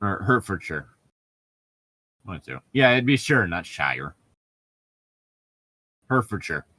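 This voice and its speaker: A man with a fairly low voice that swings expressively as he banters and reads aloud. He is sarcastic, joking and freely profane, in a casual comedic style. The sound is close and dry, with almost no room echo. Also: big pitch swings, takes it slowly, sharp tone. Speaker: co-host reading and mocking fan fiction.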